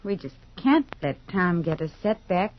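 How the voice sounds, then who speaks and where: A woman's voice: on the low side, lively intonation, normal speed, lightly acted. An actress playing a kindly, patient older small-town mother in a 1950s radio soap, speaking in a folksy, hesitant, soothing way. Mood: concerned. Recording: dull, noisy 1950s radio recording.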